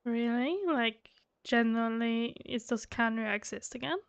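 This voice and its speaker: A woman's voice: a little high, a little slow, clear, resonant tone, no echo, muffled recording. A woman streaming a game playthrough, reading dialogue aloud and reacting casually. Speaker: gaming streamer.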